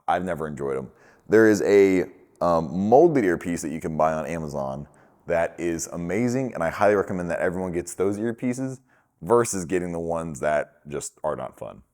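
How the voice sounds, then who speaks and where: A man with a fairly low voice presents a casual crash course. He talks in an informal, conversational manner, walking the listener through each step as an instructor would. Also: darkish tone. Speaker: instructor for a film gear rental company.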